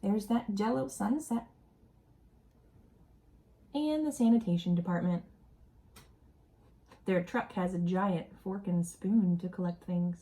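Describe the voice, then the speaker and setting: A woman reading a children's picture book aloud expressively, with deliberate phrasing and asides for young listeners. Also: medium pitch, dark tone.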